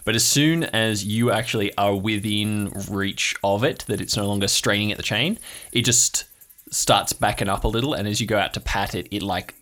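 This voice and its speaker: A man's voice: on the low side, moderate pace, fairly bright tone. A man narrating as game master in an improvised tabletop story, describing scenes in an informal, conversational way.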